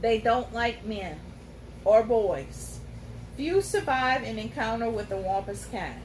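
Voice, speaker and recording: A woman voicing an old, wise, fussy talking tomcat who speaks in a measured, lecturing way. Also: fairly high pitch, lively intonation, slight background noise, pitched below the performer's own voice. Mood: grave. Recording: roomy room, faint ambience.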